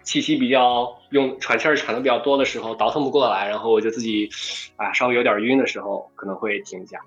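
Male interviewee, medium pitch, hesitant casual answers, thinking aloud, dull lo-fi call audio, faint music. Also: fairly even intonation, fast talker, a bit bright, breathy edge. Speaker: voice actor being interviewed. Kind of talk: casual chat. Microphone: online voice call. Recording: muffled lo-fi online voice chat.

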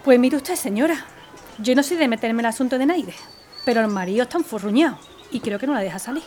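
Una mujer con acento andaluz interpretando a una vecina pícara y descarada en una ficción sonora. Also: female voice, fairly high pitch, expressive, quick pace, lightly acted. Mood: sly, wheedling. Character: sly, nosy neighbourhood woman, wheedling and bold. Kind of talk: audio drama acting. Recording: noisy ambience behind.

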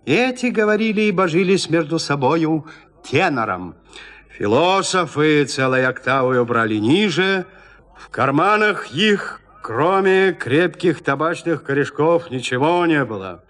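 Expressive, higher-pitched male storyteller. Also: measured pace, big-room sound. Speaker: narrator. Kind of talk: dramatic literary audiobook narration.

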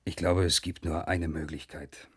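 An actor playing a stern, principled male outsider from a dystopian future in a science-fiction radio drama, speaking in a measured, deliberate way. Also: sits low, level tone, fairly bright tone, husky, full character voice. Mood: grave, wary.

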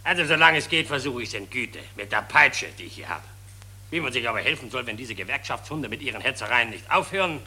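An actor playing a cynical factory owner in a radio play, ironic and sneering. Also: male voice, mid-range voice, lively intonation, fast, sharp tone, breathy edge, full character voice.

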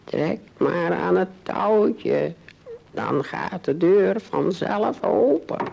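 Sly, gravelly, slow-talking wolf. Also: male voice, high-pitched, a little mellow, lo-fi sound, a little hiss or hum, heavily acted. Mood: sweetly deceitful. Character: sly big bad male wolf, hungry and scheming. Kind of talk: fairy tale radio play acting.

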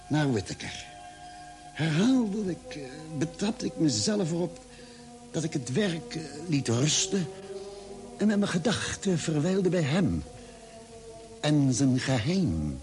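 An actor playing a thoughtful male ship's doctor in an old radio play, speaking deliberately with pauses. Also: medium pitch, a little mellow. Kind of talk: measured, dramatic radio play narration and dialogue.

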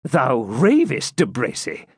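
A man's voice: mid-range voice, huge pitch swings, slow, slightly rough, no echo, studio quality, heavily acted. A man voicing a shrewd, controlled counsellor to a medieval prince, speaking in formal archaic courtly language. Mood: disbelieving.

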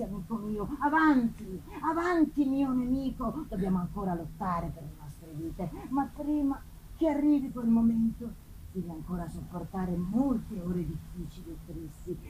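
A woman playing a vengeful male demon who gloats and taunts slowly in a gothic story reading, on a noisy live recording. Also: a little high. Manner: gloating, taunting, slow and deliberate. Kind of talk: dramatic gothic novel reading.

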